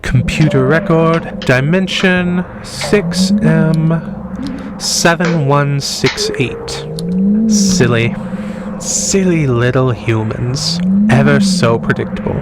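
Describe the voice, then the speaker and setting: A man's voice: on the high side, slow, very noisy. A man playing a smug, mocking time-travelling professor villain in an audio drama, condescending and amused, with a genteel manner. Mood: smug, musing.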